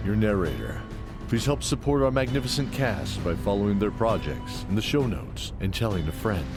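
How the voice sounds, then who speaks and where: Low-voiced man narrating over music. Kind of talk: scripted dramatic fantasy narration. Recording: loud music under voice.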